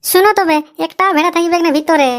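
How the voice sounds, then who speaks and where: A woman voicing a cheeky, quick-witted young village bride-to-be in a cartoon, answering back smugly. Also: extremely high pitch, steady tone. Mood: smug, teasing. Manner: cheeky, explanatory back-talk. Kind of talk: cartoon comedy dialogue.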